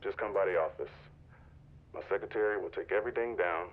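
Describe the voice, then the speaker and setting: An actor playing a curt, shady private investigator in a video game, clipped and businesslike over a phone line. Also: male voice, sits low, fast. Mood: cool, businesslike. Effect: phone call filter. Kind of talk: naturalistic video game acting. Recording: tinny, narrow phone line.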